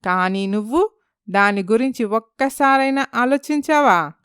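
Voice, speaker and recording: A woman playing an anxious, blaming businesswoman in an animated story, speaking insistently. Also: on the high side, a little slow, smooth, dry recording, clean studio sound. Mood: reproachful. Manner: reproachful, insistent. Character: blaming, anxious businesswoman wife living abroad.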